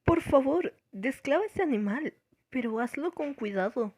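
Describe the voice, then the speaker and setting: A woman's voice: high voice, animated, moderate pace, darkish tone, husky. A woman playing a strict, pedantic governess in a radio drama, with formal, stern delivery. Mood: controlled command. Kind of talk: scripted radio drama acting.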